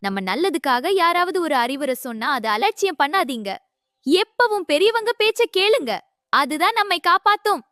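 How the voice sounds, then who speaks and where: A woman's voice: very high-pitched, lively intonation, moderate pace, a bit bright, dry recording, studio quality, faint music behind. A woman voicing a sensible, caring young fawn in a children's cartoon, speaking in an earnest, cautioning way. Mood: friendly, advising. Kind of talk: children's animated moral story dialogue.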